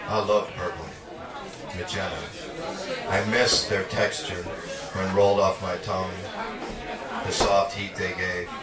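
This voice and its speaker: A man reading poetry aloud at a live reading in a measured, dramatic spoken-word delivery. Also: low voice, little pitch movement, a crowd in the background. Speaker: poet. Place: indoor literary cafe reading. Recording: echoey, distant live recording with audience. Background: audience sounds.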